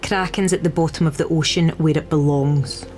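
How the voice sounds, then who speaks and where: A woman's voice: low-pitched, steady tone, moderate pace, darkish tone, natural voice. A woman playing a wry, teasing resistance ally in a war game, with bantering delivery. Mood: wry, pleased. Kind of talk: cinematic war game dialogue. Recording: music under the voice.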